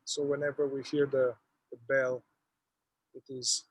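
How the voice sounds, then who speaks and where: A man leading a guided meditation, speaking in an unhurried, halting way with long pauses. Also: medium pitch, level tone, no echo, poor audio.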